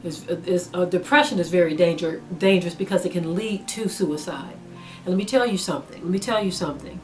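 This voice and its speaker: Earnest woman preaching over soft music. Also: lowish voice, brisk. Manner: earnest, repeats phrases for emphasis. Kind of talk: sermon-style teaching.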